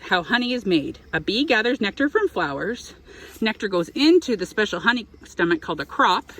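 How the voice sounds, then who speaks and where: A woman's voice: fairly high pitch, fairly bright tone. A woman reading a picture book aloud to young children, expressively, with explanatory asides. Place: outdoors in an apiary.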